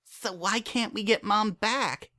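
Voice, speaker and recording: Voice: mid-range voice, takes it slowly, sharp tone, voice pushed up. A narrator voicing a young pony mare, a hurt but hopeful daughter, emotional and pleading, in a dramatic story reading. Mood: pleading, desperate.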